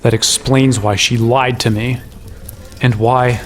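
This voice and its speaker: A man's voice: mid-range voice, fairly even intonation, slow pace, lightly acted. A young actor playing an earnest fantasy hero, telling his adventure in conversational first person in an audio drama. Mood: disillusioned. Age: young adult.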